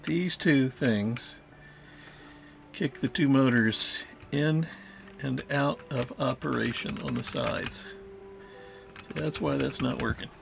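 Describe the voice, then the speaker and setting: Slow, gravelly man muttering at a workbench. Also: mid-range voice, over music. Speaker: hobbyist repairer of vintage tape recorders. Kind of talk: casual thinking-aloud workbench chat.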